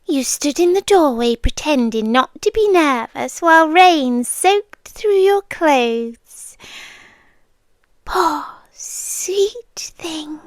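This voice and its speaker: A woman's voice: high-pitched, lively intonation, very slow, dry recording, full character voice. A British woman voicing a centuries-old vampire countess in a girlfriend ASMR roleplay, intimate and theatrical, possessive and adoring, often slipping into whispers. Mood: tender, possessive.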